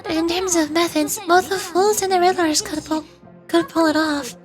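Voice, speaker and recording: A woman's voice: extremely high pitch, steady tone, normal speed, over music, pitched above the performer's own voice. A woman voicing a perky, puzzled young woman adventurer from a video game, informal and full of questions. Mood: surprised, curious. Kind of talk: live read-aloud of video game dialogue.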